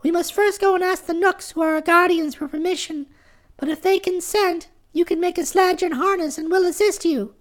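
A man voicing a proud young talking deer in a very high, squeaky falsetto for a storybook reading. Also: steady tone, moderate pace, a bit bright. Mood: earnest.

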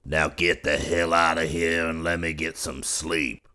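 A man doing a gruff, snarling old rancher. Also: very deep voice, flat delivery, bright, forceful tone, heavily acted. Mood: irritable. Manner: slow growling snarl, curses.

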